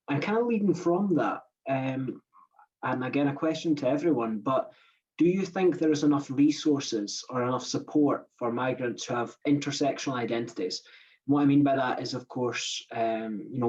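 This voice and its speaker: A man hosting an online panel, thanking a speaker and posing a question in a measured way. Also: medium pitch, a little mellow. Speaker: host, moderator. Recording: muffled, distant call audio.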